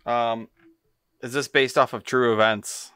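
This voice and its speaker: A man's voice: medium pitch, steady tone, unhurried, bright, forceful tone, clean tone, no room sound, clean studio sound. A man talking as a podcast co-host in casual, friendly guessing-game chat.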